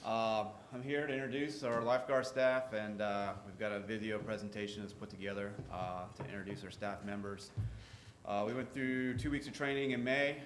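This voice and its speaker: A man presenting his lifeguard staff to a town board in a relaxed way, with many fillers. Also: fairly low pitch, moderate pace. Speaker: ocean rescue official presenting to the board. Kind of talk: informal presentation. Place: town council chamber. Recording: echoey room with light noise.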